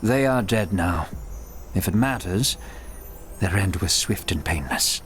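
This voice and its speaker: A man voicing a grim, stoic male companion in a video game, flat and matter-of-fact. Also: low voice, unhurried, a little hiss or hum. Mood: somber. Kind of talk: video game cutscene voice acting.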